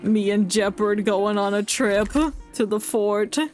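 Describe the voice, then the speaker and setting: A man's voice: high voice, completely flat delivery, measured pace, soft background music. A man commentating casually on a game stream with playful, offhand reactions.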